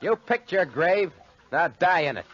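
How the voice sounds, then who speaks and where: A man's voice: a little high, expressive, unhurried, heavy background noise. An actor playing a cynical, callous young schemer in a 1940s radio drama, with slangy American tough-guy talk. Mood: cold, defiant. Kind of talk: 1940s radio drama acting with first-person narration. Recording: muffled, band-limited 1940s radio recording.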